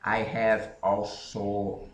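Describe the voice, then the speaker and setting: A man from Brazil speaking English with a Brazilian Portuguese accent, talking casually and haltingly, correcting himself as he goes. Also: on the low side, monotone, echoey room, a little hiss or hum. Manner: halting, self-correcting non-native English with frequent pauses. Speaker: independent author. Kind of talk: casual explanatory talk to camera.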